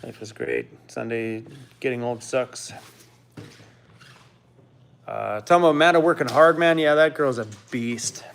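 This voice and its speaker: A man's voice: unhurried, creaky, pauses often, a bit bright, a little hiss or hum. Chatty man in his fifties. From Canada. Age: 50s. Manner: rambling, talks to his dog in a high coaxing voice. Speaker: live stream host. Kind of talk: casual live stream chat.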